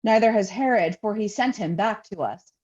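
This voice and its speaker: Woman pastor reading scripture dramatically. Soft-edged mellow tone, medium pitch, slightly lo-fi audio. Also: moderate pace. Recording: dull, lo-fi sound.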